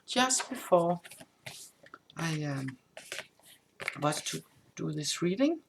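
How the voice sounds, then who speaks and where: A woman whose first language is Danish, a tarot reader who gives a casual spoken update, halting and improvising with many restarts. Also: deep voice, sing-song, vocal fry, background noise.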